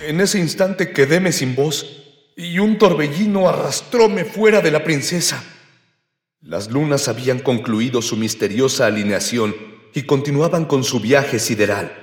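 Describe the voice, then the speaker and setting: A man's voice: mid-range voice, lively intonation, measured pace, echoey room, lightly acted. A man playing a pompous, florid, formal narrator in a mock-literary comic space radio drama. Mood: dramatic. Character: pompous narrator of a space-adventure comedy, grandiloquent, archaic.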